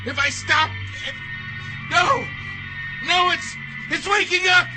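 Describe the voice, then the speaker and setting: Panicked horror victim, female, extremely high voice, halting, with music behind. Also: rough recording. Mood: terrified. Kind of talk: 1940s radio horror acting. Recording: radio drama clip with music.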